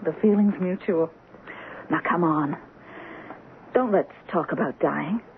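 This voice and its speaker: A woman playing a brisk, affectionate but guarded young American wife in a 1970s radio drama. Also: on the low side. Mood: guarded, insistent. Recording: muffled, hissy old radio broadcast.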